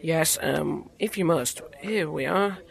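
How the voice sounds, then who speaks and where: A man's voice: fairly high pitch, takes it slowly, gravelly. A man playing a skeptical weather official. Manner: skeptical, brusque.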